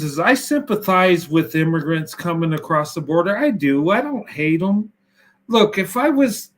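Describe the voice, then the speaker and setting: A man with a notably high speaking voice talks politics in a loose, conversational way. He is emphatic and keeps stuttering and restarting his sentences as he pushes his point. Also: a bit bright. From United States. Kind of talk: casual political discussion.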